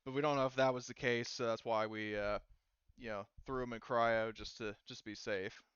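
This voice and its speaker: A man running a tabletop game as game master, narrating casually in a halting, conversational way. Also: medium pitch, steady tone, fairly bright tone, clean tone, dry recording, lo-fi sound.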